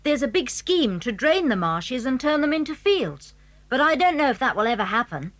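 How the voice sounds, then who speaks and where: Posh-ish british schoolboy, secretive and eager, really bouncy high voice, kids audio play. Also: female voice, very animated, moderate pace, fairly bright tone. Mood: eager, proud. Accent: British English. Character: clever, secretive schoolboy showing friends his house. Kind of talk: 1980s children's audio drama acting.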